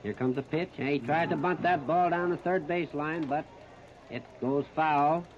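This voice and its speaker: A man's voice: fairly high pitch, normal speed. Muffled 1930s American baseball radio man. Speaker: baseball radio play-by-play announcer. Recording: muffled, noisy 1930s radio disc recording.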